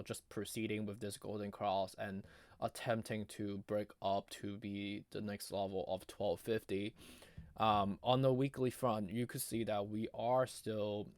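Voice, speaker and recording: A man giving casual, off-the-cuff chart commentary in a halting, conversational way, his voice strained as if giving out. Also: fairly low pitch, no echo. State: losing his voice, often clearing throat. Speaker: market analysis video host.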